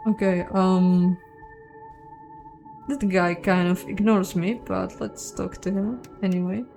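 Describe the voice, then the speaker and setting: A woman's voice: medium pitch, steady tone, slow, gravelly, a little mellow, faint music behind. A woman doing a game playthrough, mixing casual commentary with reading aloud from the game. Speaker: gameplay streamer reading all the parts.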